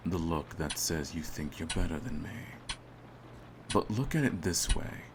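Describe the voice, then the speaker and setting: A man playing a cold, smug hitman in an audio roleplay, speaking in a theatrical, menacing and deliberate way. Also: a bass voice, animated, noisy background. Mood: smug menace. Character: cold, smug male hitman holding his target at gunpoint. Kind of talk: scripted ASMR audio roleplay.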